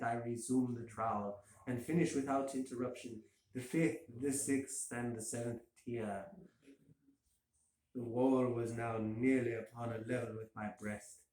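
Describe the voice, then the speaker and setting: A man's voice: mid-range voice, a little mellow. A man giving an amateur dramatic reading of a gothic horror story. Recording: roomy echo, faint hiss.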